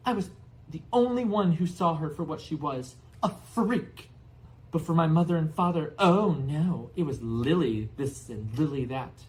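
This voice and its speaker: A man's voice: on the high side, animated, unhurried, voice pushed up, poor audio. A man voicing a spiteful middle-aged aunt who rants resentfully and stammers with disgust in a storybook reading. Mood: bitter, contemptuous.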